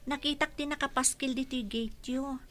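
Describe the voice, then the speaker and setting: A woman's voice: high-pitched, fairly even intonation, moderate pace, fairly bright tone, faint music behind. A woman acting an eager, humble, easily flustered young housemaid in an Ilocano radio comedy drama.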